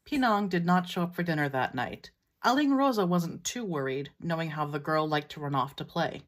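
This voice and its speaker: A woman's voice: sits low, animated, normal speed. Filipina American woman telling a folktale. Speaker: storyteller. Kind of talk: casual storytelling.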